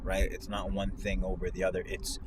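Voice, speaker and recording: A man's voice: deep voice, monotone, a little fast. An American man in casual discussion as a co-host, explaining his points emphatically. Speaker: show co-host. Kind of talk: casual discussion while driving. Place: inside a moving car. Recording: loud car and road noise.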